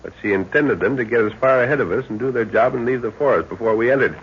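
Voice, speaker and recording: An actor playing a calm, authoritative middle-aged father leading a jungle expedition in a 1930s radio adventure, speaking in a measured, commanding way. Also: medium pitch, lightly acted. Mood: matter-of-fact. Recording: muffled, noisy 1930s radio recording.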